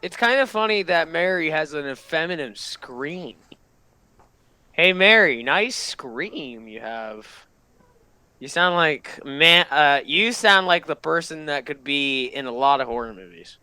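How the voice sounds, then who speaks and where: A man's voice: on the high side, lively intonation, unhurried, sharp tone, clear, resonant tone, no room sound. A man hosting a reaction video, giving casual running commentary.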